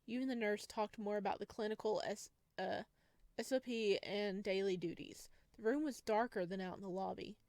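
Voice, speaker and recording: A woman's voice: mid-range voice, normal speed, no room sound. Playful woman reading a game aloud, dry sound. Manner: playful, expressive, slangy reactions. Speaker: let's player reading a visual novel aloud.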